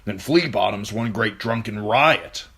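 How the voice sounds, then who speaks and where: A man voicing a blunt soldier giving a brisk battle report. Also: on the low side, fairly bright tone, slightly rough, heavily acted. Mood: urgent.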